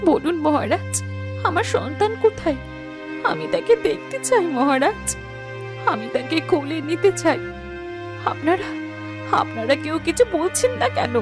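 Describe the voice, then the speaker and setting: Woman as a high-voiced, imploring queen. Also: expressive, a little slow, long pauses, music in the background. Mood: desperate. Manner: urgent, imploring. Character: distraught, pleading young queen desperate for her child. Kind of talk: melodramatic cartoon fairy-tale voice acting.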